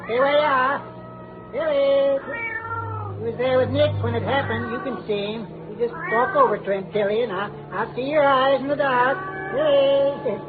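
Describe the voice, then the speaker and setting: Vengeful, muttering old man; halting, unhinged delivery, vintage radio drama with narrowband sound and music. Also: extremely high pitch, steady tone. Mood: menacing. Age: older. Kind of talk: 1950s radio thriller acting.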